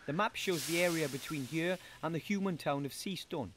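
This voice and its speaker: A man's voice: a little high, brisk, fairly bright tone, slight background noise, natural voice. An actor playing an eager, polite young male gnome hero in a game, chatty and curious.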